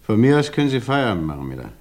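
An actor playing a calm, pipe-smoking police inspector in a 1950s radio play, dry and unhurried. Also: male voice, lowish voice. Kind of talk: 1950s radio drama acting. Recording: old mono radio play recording.

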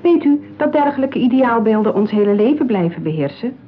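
A woman's voice: on the high side, expressive, brisk, lightly acted. Woman acting a gushing saleswoman, tinny recording. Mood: reassuring, persuasive. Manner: polished, persuasive sales patter. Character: smooth, patronising, eager saleswoman at a matchmaking agency. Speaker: actress in a radio play. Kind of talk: radio drama acting. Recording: tinny, narrow-band old radio play.

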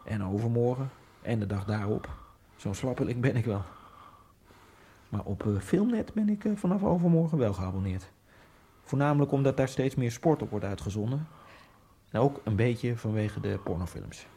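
An actor playing a lonely Egyptian immigrant man in a radio drama, musing in a wry inner monologue that keeps jumping into giddy high outbursts. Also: animated, normal speed, dark tone, a little hiss or hum, close to an everyday voice. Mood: brooding. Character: lonely male Egyptian immigrant, brooding, self-mocking. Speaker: narrator and main character.

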